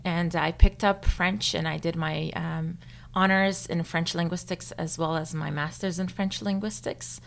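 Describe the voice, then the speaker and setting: A woman's voice: on the low side, fairly even intonation, unhurried, vocal fry, a little hiss or hum. A young woman from Turkey, whose first language is Turkish, answering interview questions in a thoughtful way with many fillers and small laughs. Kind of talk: casual interview.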